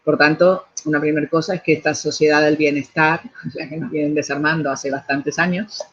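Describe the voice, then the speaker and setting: A woman's voice: sits low, fairly even intonation, brisk, slightly rough, highs cut off. A middle-aged woman from Argentina with an Argentine Spanish accent, an architect answering interview questions thoughtfully, restarting phrases and adding tag questions. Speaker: architect and urban planner.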